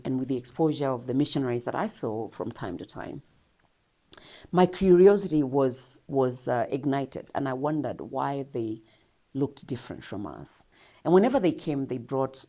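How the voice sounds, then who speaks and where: This is a woman with a Kenyan accent whose voice sits deep and low. She tells her own life story as an interview guest in a reflective, earnest way and breaks into laughs now and then. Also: lively intonation, moderate pace, dark tone.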